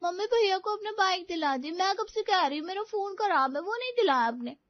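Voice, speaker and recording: A woman's voice: extremely high pitch, animated, brisk, no echo, highs cut off, pitched above the performer's own voice. A woman voicing a sulky, pampered young daughter in a whining, girlish manner for a moral story.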